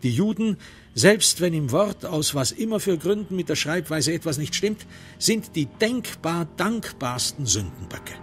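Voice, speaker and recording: A man reading dramatic literary narration for a radio crime drama, in measured, deliberate phrasing with emphatic stresses. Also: on the high side, very animated, fairly bright tone, faint music behind.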